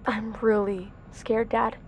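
A woman's voice: a little high, flat delivery, slow, a little hiss or hum. A female performer playing an anxious, childlike young daughter in a naturalistic audio drama. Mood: scared, insistent.

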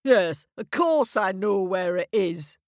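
A woman puts on a wild, cross north wind, a big blustery spirit who roars and booms his words with grumbling bluster. the voice swings lively up and down, and it sounds dry and close with no room to it. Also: slow pace, no room sound. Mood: gruff. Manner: roaring, booming, gruff.

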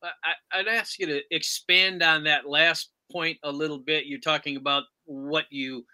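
A man interviewing about sports, phrasing his questions in a halting, deliberate way. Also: fairly high pitch, steady tone, bright, forceful tone. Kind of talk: sports discussion, asking questions.

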